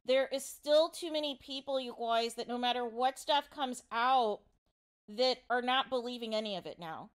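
A woman's voice: high-pitched, fairly bright tone, smooth. A woman hosting informal gossip commentary, speaking emphatically and repetitively, often addressing her listeners directly. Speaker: online commentary show host.

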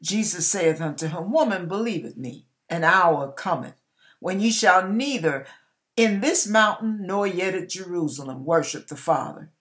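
A woman's voice: fairly low pitch, lively intonation, fairly bright tone. Older woman reading scripture, widely swinging intonation. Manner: emphatic, deliberate delivery with stretched words and pauses. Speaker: online preacher reading scripture aloud. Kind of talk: dramatic Bible reading. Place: small indoor room with curtain behind.

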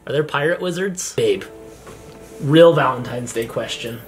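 A man in his thirties with a higher-pitched voice, chatting playfully while gaming at home as vlog host. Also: a little slow. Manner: playful, joking, excitable. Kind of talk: casual chat while gaming. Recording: music in background.

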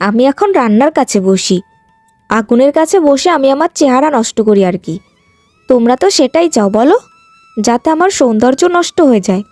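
A woman's voice: high voice, darkish tone, dry recording. A woman voicing a vain, haughty, petulant young village woman in a cartoon moral tale. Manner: boastful, petulant, complaining.

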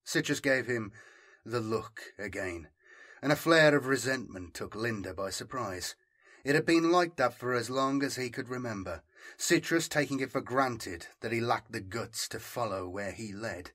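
A man's voice: mid-range voice, expressive, normal speed, fairly bright tone. A man reading scripted dramatic fiction as an audiobook narrator.

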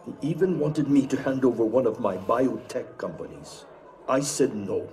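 A man acting a cagey older crime boss who owns a casino in a video game, formal, measured and politely evasive. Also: medium pitch, expressive, a little mellow, natural voice. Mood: confiding, wary.

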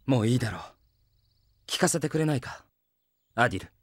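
A man playing an earnest, steady young knight in an anime audio drama. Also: mid-range voice, fairly quick, no echo, close to an everyday voice. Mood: probing, calm.

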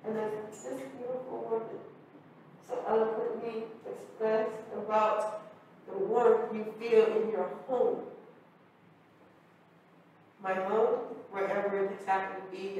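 Woman from Turkey, earnest reflective storyteller, flat even delivery, recorded from afar in a roomy gallery. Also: medium pitch, a little hiss or hum. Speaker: audience member sharing a story. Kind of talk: personal storytelling. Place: art gallery, live audience. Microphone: far camera mic. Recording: echoey gallery from far off.

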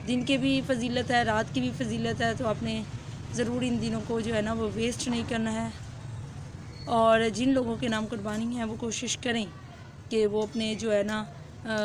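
A young woman with a hoarse throat, chatting casually to her viewers in a vlog and mixing in English words, recorded on a phone at arm's length outdoors. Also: fairly high pitch, background noise. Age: young adult. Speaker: vlogger. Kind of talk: casual vlog chat to camera. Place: outdoors in a rural courtyard with goats. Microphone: phone held at arm's length.

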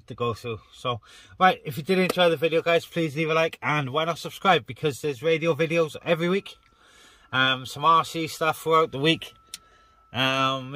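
A man's voice: mid-range voice, sharp tone. A man vlogging in a casual, chatty way, talking straight to his viewers. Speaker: vlogger and radio hobbyist. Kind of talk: casual chat to camera. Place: outdoors on moorland and inside a parked car. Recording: clicky with faint background noise.

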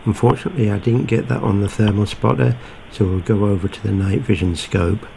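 A man calmly narrating his night-time pest control footage in a quiet, matter-of-fact way. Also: on the low side, fairly quick, creaky, slight background noise. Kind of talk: calm low-key voice-over narration.

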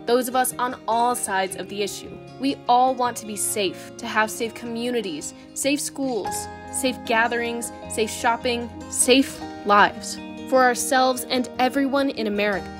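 A woman's voice: a little high, a little slow, hardly any pauses. A woman announcer giving earnest, scripted promotional narration for an event. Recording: music behind the voice. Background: music.

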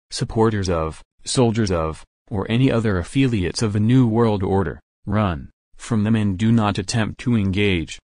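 A man playing a grave survivors' group announcer, reading a measured, formal emergency broadcast in a web drama. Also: fairly low pitch, a little mellow, dry recording, studio quality, lightly acted.

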